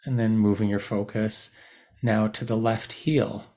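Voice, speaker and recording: Low, gravelly man guiding meditation. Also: little pitch movement, warm tone. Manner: soothing, unhurried, with long pauses between short phrases. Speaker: meditation guide. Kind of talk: guided body scan meditation, unscripted instruction.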